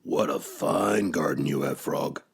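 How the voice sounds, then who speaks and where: A gruff toad from a children's storybook, acted out by a man reading aloud. The voice is deep and husky, with slow, emphatic lines full of fretting impatience. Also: full character voice. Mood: curious, wishful. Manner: blunt, emphatic, dramatic.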